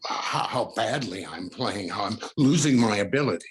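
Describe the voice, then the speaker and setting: A man playing Martin, an anxious, self-doubting musician, halting and brooding in a 1940s-style radio thriller. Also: fairly low pitch, animated, slight background noise, close to an everyday voice. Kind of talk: 1940s radio drama acting.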